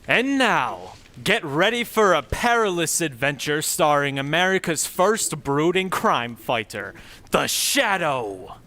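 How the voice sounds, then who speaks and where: Bright, highly animated male radio announcer. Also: slow. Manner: theatrical, dramatic. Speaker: announcer and narrator. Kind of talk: old-time radio scripted narration.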